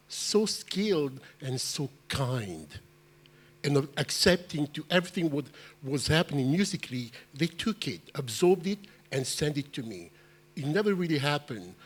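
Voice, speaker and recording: A man who grew up in France and Belgium, a musician on a panel, telling his story in an earnest, animated way with halting restarts. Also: on the high side.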